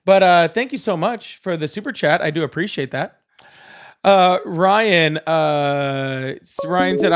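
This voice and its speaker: A man hosting a live call-in political debate in a casual style, reading out viewer messages, sarcastic and dismissive with callers. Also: fairly high pitch.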